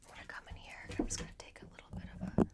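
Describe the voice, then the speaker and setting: Whispering woman doing gentle ASMR roleplay. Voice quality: breathy whispering. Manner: gentle, reassuring, unhurried murmuring. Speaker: ASMR performer. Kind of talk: soft-spoken ASMR roleplay.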